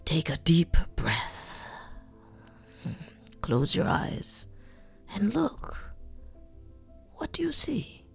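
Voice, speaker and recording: A woman voicing a calm, tall gray male wolf in a storybook, speaking low, slow and patient in a hushed, breathy voice. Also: soft background music, heavily acted. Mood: calm, guiding.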